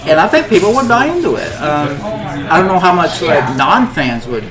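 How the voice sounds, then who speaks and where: Higher-voiced man hosting casual chat, crowd behind. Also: big pitch swings, normal speed, rough recording. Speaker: host of a group discussion show. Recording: background crowd chatter.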